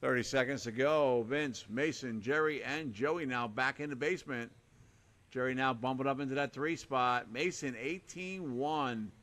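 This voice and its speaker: A man calling a live RC car race with a hoarse, fading voice, rattling off names and lap times in short bursts. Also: medium pitch, moderate pace, fairly bright tone. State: hoarse, losing his voice. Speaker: race announcer.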